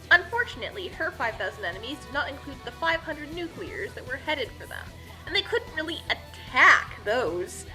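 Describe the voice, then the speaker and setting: A woman's voice: high voice, pushed and bright, low-quality recording. A woman telling a comedic story as a scripted narration, casual and tongue-in-cheek.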